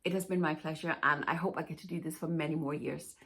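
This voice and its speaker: A woman's voice: low-pitched, fairly even intonation, fast, a bit bright, slightly rough. A Danish-born woman, a fashion stylist answering viewer questions in a chatty, reassuring way. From Denmark. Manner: chatty and reassuring, often says "to be honest with you". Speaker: plus-size fashion stylist and YouTuber. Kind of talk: casual Q&A vlog chat.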